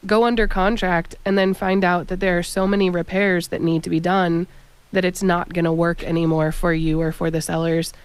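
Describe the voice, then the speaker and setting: A woman's voice: on the low side, flat delivery, moderate pace, clear, resonant tone, no room sound. A woman talking on a real estate radio show, explaining what buyers want at length. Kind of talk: radio talk show chat.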